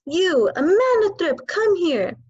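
A woman voicing a huge, brutal headmistress who barks and shouts, high and loud. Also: very animated, moderate pace. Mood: furious. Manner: barking, shouting. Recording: dull lo-fi dry recording.

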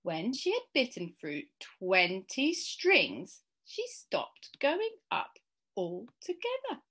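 A woman in her thirties reads a children's storybook. She takes it very slowly, with dramatic pauses and a lively, varied pitch. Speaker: school staff member reading aloud. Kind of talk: expressive children's storybook narration. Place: small indoor room at home.

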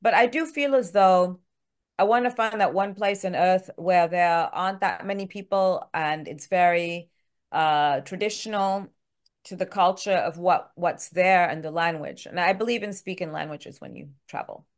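A woman's voice: fairly low pitch, moderate pace. A middle-aged woman whose first language is French, speaking English with a French accent as a guest in a frank, chatty way with many fillers, over a video call from home. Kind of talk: casual interview chat.

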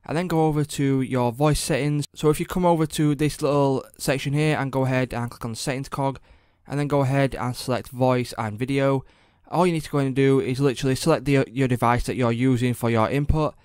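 A man presenting a casual, step-by-step software tutorial in informal, repetitive instructional phrasing. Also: mid-range voice, steady tone, brisk, hardly any pauses, smooth, no room sound, clean studio sound.

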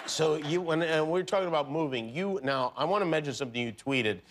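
A man in his forties hosting a late-night talk show, teasing his guest with wry deadpan jokes in a TV studio. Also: fairly high pitch, a little fast, a crowd in the background, background noise. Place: TV studio set with city backdrop. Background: studio audience laughter.

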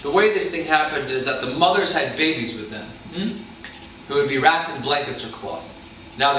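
A man's voice: fairly high pitch, sharp tone. A young man playing a matter-of-fact, evasive Nazi camp commandant under interrogation in a staged reading. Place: meeting room, staged reading at a table. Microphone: far camera microphone. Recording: very echoey room, far microphone, hiss.

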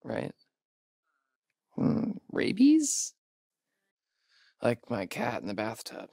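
A young American man, a sardonic summer camp counselor who loves a wisecrack. His delivery is slangy and laid-back, taking its time, with lively swings in pitch. The sound is close and dry. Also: drawn-out words, gravelly, no echo, full character voice. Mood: wry. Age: young adult. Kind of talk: naturalistic video game acting.

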